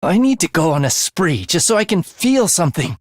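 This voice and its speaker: Wildly expressive, morbid young assassin. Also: male voice, high voice, brisk, dry recording, clean studio sound. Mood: bitter, morbid. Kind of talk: English video game voice acting.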